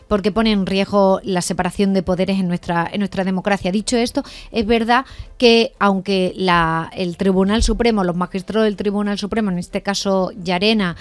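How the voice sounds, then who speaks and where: Woman panelist, hesitant, middle pitch. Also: fairly even intonation, normal speed, no room sound. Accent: Castilian Spanish. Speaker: radio panelist. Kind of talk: political discussion.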